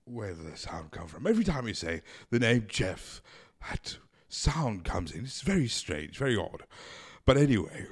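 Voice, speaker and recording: A man hosting a comedy show in a theatrical, mock-formal way, speaking into a boom-arm desk mic in a small home studio. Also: big pitch swings, normal speed, slightly rough. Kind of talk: hammy scripted comedy hosting.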